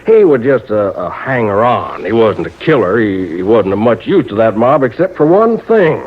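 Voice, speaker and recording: An actor playing a folksy, nervous old small-town insurance agent with a rural American accent, hesitant and drawn out in old-time radio drama style. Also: male voice, on the low side, sing-song, normal speed, husky. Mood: explaining. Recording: narrow-band vintage radio recording.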